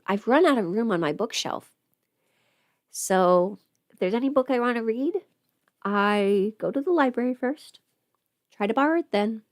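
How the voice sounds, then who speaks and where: A woman's voice: on the high side, no echo, studio quality. A woman chatting informally on a livestream, rambling and thinking aloud as she goes. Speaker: livestream host, audio creator. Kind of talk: casual livestream chat.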